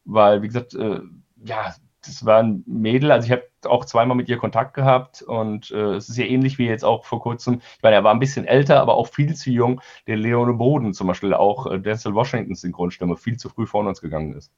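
A man answering interview questions casually, picking his words carefully and haltingly as a producer guest. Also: fairly low pitch, steady tone, darkish tone. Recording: dull, lo-fi remote sound.

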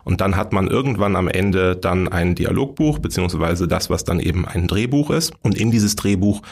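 A man's voice: low voice, expressive, moderate pace, darkish tone, slightly rough. A man, explaining things informally and step by step as an interview guest. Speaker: radio play workshop leader, interview guest.

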